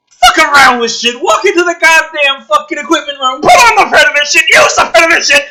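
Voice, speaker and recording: A man doing a dramatic script reading with sarcastic, sweary commentary, swinging between hushed narration and exasperated ranting. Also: extremely high pitch, gravelly, poor audio. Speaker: host of a gaming and commentary channel.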